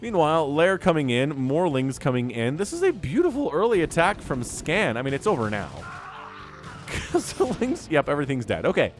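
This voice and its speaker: A man's voice: a little high, normal speed, faint music behind. A man with a congested voice from a cold, casting a strategy game match in a chatty, joking way. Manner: chatty, joking asides, excited at fights. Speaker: StarCraft caster and show host. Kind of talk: casual game commentary.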